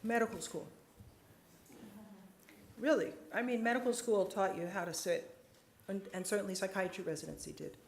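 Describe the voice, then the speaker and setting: A woman speaks from a lecture stage, earnest and measured, dropping her voice and slowing down to stress a point. Her voice sits in the middle of the range and carries the echo of a large hall, with faint noise behind. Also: mid-range voice. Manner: earnest, measured, slows for emphasis. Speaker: psychiatrist speaker. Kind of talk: lecture and audience Q&A.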